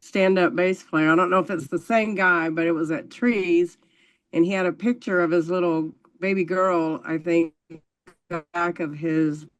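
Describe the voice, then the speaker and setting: Casual questioner, chatty and friendly, middle pitch, dry close sound. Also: fairly even intonation, normal speed, clear, resonant tone, no room sound, rough recording. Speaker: meeting member asking questions. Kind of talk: casual interview chat.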